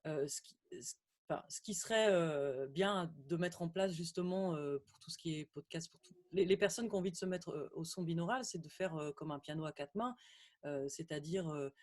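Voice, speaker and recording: A woman's voice: deep voice, moderate pace, a little mellow. Hesitant woman on a video call. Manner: hesitant, many fillers, grows emphatic. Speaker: sound engineer on a panel. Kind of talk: technical panel discussion.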